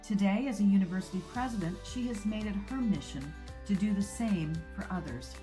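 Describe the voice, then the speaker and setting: A woman hosting a podcast, reading a polished introduction and then interviewing her guest in a measured way. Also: mid-range voice, over music. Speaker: podcast host.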